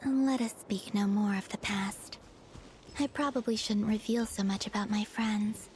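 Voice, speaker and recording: A woman voicing a serene, wistful young moon goddess in a video game, speaking softly in a measured, formal way. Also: mid-range voice, steady tone, a little hiss or hum, lightly acted. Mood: gentle, solemn. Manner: soft, measured, formal, gentle speech. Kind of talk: scripted game voice acting.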